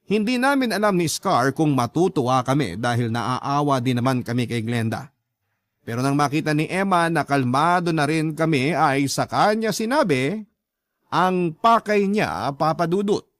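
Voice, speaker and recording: A man's voice: fairly high pitch, animated, normal speed, no room sound, clean studio sound. A man giving scripted first-person dramatic narration, reading out a love story as a letter sender would tell it.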